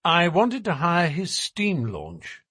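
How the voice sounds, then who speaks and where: A man voicing a shrewd detective in an audiobook, with a smooth, measured, politely formal way of coaxing. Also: sits high, wildly swooping pitch, a bit bright, no echo, clean studio sound, pitched above the performer's own voice, heavily acted. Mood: bland probing. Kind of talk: audiobook character voice.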